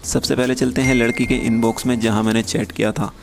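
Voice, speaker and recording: A man's voice: medium pitch, little pitch movement, fast, vocal fry, music in the background. A young man from Uttar Pradesh chatting casually as he walks viewers through a screen recording of his messages. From Uttar Pradesh, India. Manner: chatty, talks straight to viewers. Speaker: YouTuber giving chat tips.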